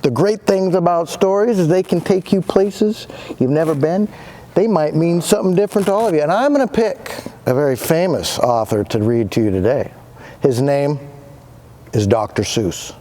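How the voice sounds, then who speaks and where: A man's voice: on the high side, moderate pace. A male teacher giving a dramatic read-aloud of a picture book to students. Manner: animated, dramatic reading voice.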